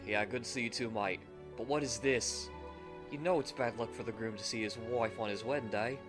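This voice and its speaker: A man voicing a rough, chummy male rogue with blunt, slangy British-style speech in an anime fan roleplay. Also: mid-range voice, steady tone, a little fast, music in the background, lightly acted. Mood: hearty, teasing.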